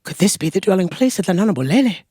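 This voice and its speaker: A woman storyteller narrating a folktale in a deliberate, expressive way, with dramatic pauses. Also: medium pitch, breathy edge, dry recording, studio quality.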